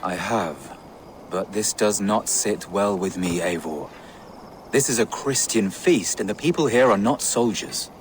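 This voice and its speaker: A man's voice: on the low side, a little slow, slight background noise, natural voice. An actor playing a troubled, pious male warrior in a video game, somber and reluctant.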